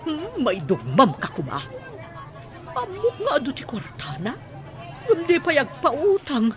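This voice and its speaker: A woman's voice: high-pitched, wildly swooping pitch, measured pace, fairly bright tone, exaggerated voice. A woman playing a mocking market gossip. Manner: mocking, bantering. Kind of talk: radio drama acting. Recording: crowd chatter behind.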